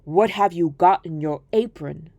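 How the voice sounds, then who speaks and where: A woman's voice: lowish voice, measured pace, warm tone, no room sound, voice pushed down. A woman voicing a crafty, hungry male wolf in a storybook, coaxing and scheming. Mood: sly, probing.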